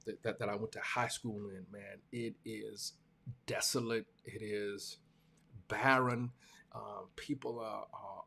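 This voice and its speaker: A man in his forties with a Southern US accent, a preacher talking casually and reflectively to his viewers, halting and earnest, often repeating phrases for emphasis. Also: fairly low pitch, gravelly, fairly bright tone. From small-town Georgia, US. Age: 40s. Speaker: preacher hosting a talk show.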